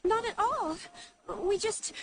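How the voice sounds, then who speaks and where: An overworked young female clerk in a fantasy game, with an extremely high voice. She is eager, deferential and earnest, heard over faint noise. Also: expressive, slow pace, a bit bright, full character voice. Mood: weary, pleading. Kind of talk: scripted video game voice acting.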